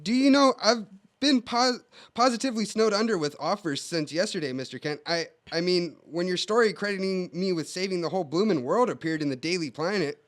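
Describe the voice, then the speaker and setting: A man's voice: sits high, animated, fairly quick. A man voicing a tiny, chummy British circus performer with quaint, polite upper-class phrasing, in an old-time radio play. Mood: proud. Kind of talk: 1940s-style radio drama acting.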